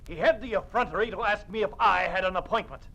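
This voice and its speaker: An actor playing an indignant, ousted government minister in an old-time radio drama, flustered and formally spoken. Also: male voice, sits high, quick pace, gravelly, pushed and bright, heavily acted. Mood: outraged. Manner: flustered, outraged, formal wording.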